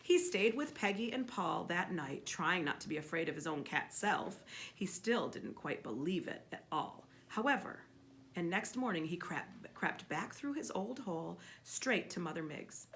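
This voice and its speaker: A woman reads a picture-book story aloud with very lively, swooping intonation. Her voice is bright and forward, and her delivery is expressive and emphatic, with a little background noise. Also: fairly low pitch, normal speed. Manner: expressive, emphatic, with repeated words for effect. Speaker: storyteller reading a children's book to a child. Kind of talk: animated read-aloud story narration with questions to a child.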